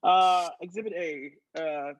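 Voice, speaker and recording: A man's voice: high-pitched, unhurried. A man acting in improvised comedy, protesting in a hesitant, absurd way.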